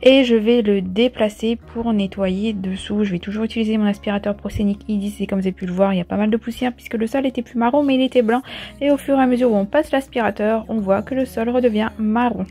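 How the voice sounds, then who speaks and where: A woman's voice: a little high, fairly even intonation, fast. A woman giving a chatty, step-by-step explanatory voice-over in a casual household vlog style. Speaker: vlogger, host. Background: soft background music.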